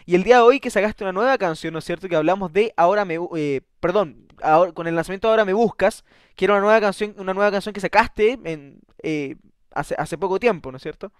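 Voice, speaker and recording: A man's voice: sits high, fast talker, clean tone, dry recording, clean studio sound. A man hosting a radio interview, chatty and easygoing, often stumbling and restarting while tacking on questions like "right?". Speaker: radio show host. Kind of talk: casual interview.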